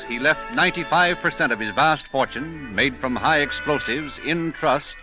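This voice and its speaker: Male narrator, vintage 1930s radio: emphatic declamation, mid pitch, dull old sound over clear music.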